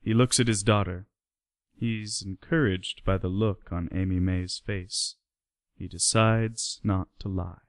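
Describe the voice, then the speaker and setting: Low-voiced man narrating a story. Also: steady tone, measured pace, no room sound, clean studio sound. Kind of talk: scripted narration of a radio play.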